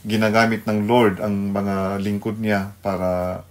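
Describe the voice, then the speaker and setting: A male Filipino pastor hosting a devotional radio program, speaking haltingly and searching for words. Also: on the low side, little pitch movement. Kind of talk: devotional talk mixing Tagalog and English.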